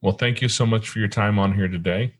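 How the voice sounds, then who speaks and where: Deep-voiced Midwestern podcast host. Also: male voice, steady tone, brisk, dry recording, studio quality. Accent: Midwestern American. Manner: rambling, self-correcting, laughs easily. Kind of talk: casual interview chat.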